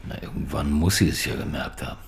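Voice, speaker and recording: An actor playing a probing, brooding police detective in a radio drama, talking in short, insistent lines. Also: male voice, a bass voice, fairly even intonation, fast, gravelly. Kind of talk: naturalistic radio drama acting.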